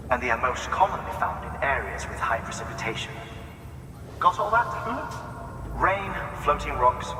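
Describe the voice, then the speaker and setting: A man's voice: mid-range voice, noisy background, lightly acted. Man playing a pedantic scientist, echoey and distant. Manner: pedantic, flourishing, instructive. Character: fussy, eager male scientist, pedantic and quirky. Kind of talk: scripted video game dialogue. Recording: distant, echoey hall with music.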